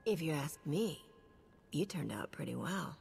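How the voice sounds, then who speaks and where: Sci-fi game heroine, self-possessed and direct, voice moves around a lot, long echo and music. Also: female voice, deep voice, expressive, measured pace, gravelly, a little mellow, breathy edge, heavily acted. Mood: curious. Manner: direct, questioning. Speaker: player hero character. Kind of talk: video game cutscene acting. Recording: long hall reverb with music behind.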